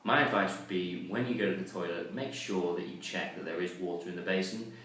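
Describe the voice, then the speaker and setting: A man with a low, deep voice chats casually into the camera. He pokes fun at himself and fills his pauses with ums and uhs. His voice comes from well away from the mic, with heavy echo and a little background noise. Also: fairly bright tone. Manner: chatty, self-mocking, with frequent ums and uhs. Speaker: vlogger. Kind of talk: casual vlog talk to camera. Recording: very echoey, distant mic, faint noise.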